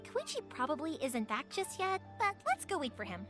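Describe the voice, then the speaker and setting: A woman voicing a cheerful, chatty, excitable young fireworks maker in an anime-style video game. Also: sits high, normal speed, exaggerated voice. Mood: friendly, chatty. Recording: music behind the voice.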